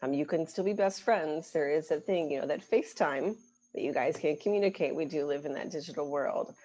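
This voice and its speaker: A woman's voice: low-pitched, brisk, highs cut off. A woman from the US, an international admissions representative, presenting a webinar in an encouraging way with some "um"s. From United States. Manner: warm, encouraging, with frequent "um"s.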